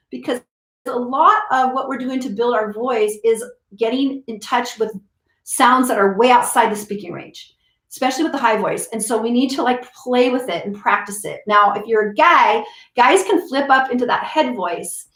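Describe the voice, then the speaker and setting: Woman voice coach, higher voice, talks in a chatty explaining way, echoey room. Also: normal speed, fairly bright tone. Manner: chatty, explains with repeats and restarts. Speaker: voice teacher. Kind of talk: casual teaching talk to camera.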